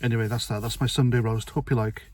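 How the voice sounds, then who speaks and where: A man's voice: medium pitch, flat delivery, quick pace, a little mellow. Blunt, rambling middle-aged man. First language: Welsh. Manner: blunt, rambling, sweary, with restarts. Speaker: vlogger. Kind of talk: casual opinion monologue to camera.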